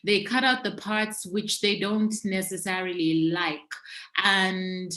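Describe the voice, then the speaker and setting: A woman's voice: mid-range voice, steady tone, slow pace, sharp tone, reverberant. A woman from Zambia, a podcast guest, telling her life story openly and thoughtfully, with easy laughs. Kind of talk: conversational storytelling interview.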